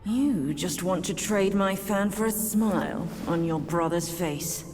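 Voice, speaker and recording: A woman voicing a proud, world-weary demon queen and mother in a game cutscene, speaking in an imperious, measured way. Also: on the low side, a little mellow, soft background music, natural voice. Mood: stern, bitter. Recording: long hall-like reverb.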